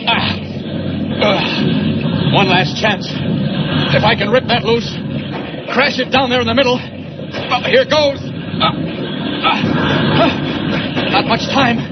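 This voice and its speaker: Heroic flying superhero, high male voice, urgent declamation, old radio serial, very heavy noise. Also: slow pace, long pauses. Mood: straining. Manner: urgent, declamatory thinking aloud. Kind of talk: 1940s radio serial acting. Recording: muffled vintage radio, very loud noise.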